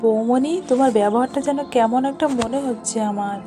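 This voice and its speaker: A woman voicing an excitable, easily frightened aunt in a family cartoon. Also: fairly high pitch, a little fast, music in the background, close to an everyday voice. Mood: expectant. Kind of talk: cartoon voice acting.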